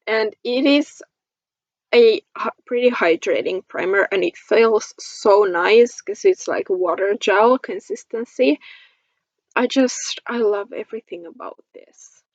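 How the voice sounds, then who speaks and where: A woman's voice: medium pitch, takes it slowly, dry recording, dull audio. A woman from Finland chatting casually about products as a beauty vlogger, in a gushing, giggly manner. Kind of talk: casual product review chat to camera.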